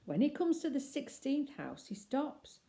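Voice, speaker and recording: A woman reading a children's story aloud expressively, with deliberate phrasing and emphatic pauses. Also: high-pitched, very animated, gravelly, dark tone.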